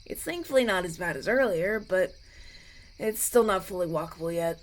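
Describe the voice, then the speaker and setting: A man's voice: high-pitched, expressive, normal speed. A man playing a polite, anxious young runaway prince in an audio roleplay, stammering and hesitant as he talks. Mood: flustered, grateful. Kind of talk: ASMR audio roleplay, acted one-sided dialogue.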